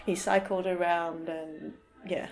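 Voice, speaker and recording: A woman's voice: fairly low pitch, fairly even intonation, slow pace. A woman speaking as a podcast guest in casual, somewhat hesitant conversation, often rephrasing as she goes. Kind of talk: casual chat.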